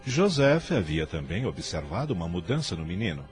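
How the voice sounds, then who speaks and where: A man's voice: deep voice, big pitch swings, brisk. A man with a Brazilian accent giving solemn, literary narration for a radio drama. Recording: music bed under narration.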